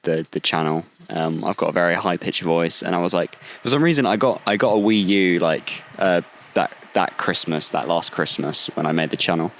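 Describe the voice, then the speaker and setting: A man's voice: low voice, normal speed, hardly any pauses, fairly bright tone, a little hiss or hum. A young man from the UK co-hosting a podcast, telling rambling stories in casual chat, with plenty of fillers. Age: young adult. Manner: laid-back, rambling storyteller with many fillers. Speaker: podcast co-host.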